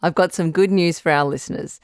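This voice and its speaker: An actress playing a calm, reassuring doctor who hosts a radio advice show, measured and frank in an audio drama. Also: female voice, deep voice, vocal fry, no echo, clean studio sound. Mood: welcoming, upbeat. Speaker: radio call-in host.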